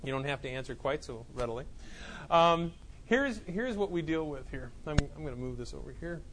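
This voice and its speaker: A man's voice: a little high, lively intonation, fairly quick, background noise. Chatty male instructor lecturing a class. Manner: loose, repetitive explaining with frequent restarts. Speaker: college instructor. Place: classroom with students. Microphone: clip-on mic that keeps falling off.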